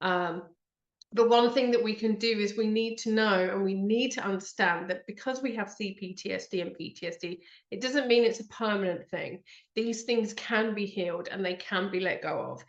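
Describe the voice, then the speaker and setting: A woman's voice: medium pitch, moderate pace, reverberant, lo-fi sound. A woman hosting an online talk, congested with a blocked nose, chatty and earnest with frequent ums. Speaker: host. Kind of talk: live online talk with slides.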